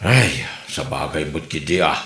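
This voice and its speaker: A man's voice: extremely low pitch, expressive, measured pace, sharp tone, heavily acted. A man with an Ilocano accent playing a chatty, teasing old father in an audio comedy drama. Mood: teasing, concerned. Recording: echoey room.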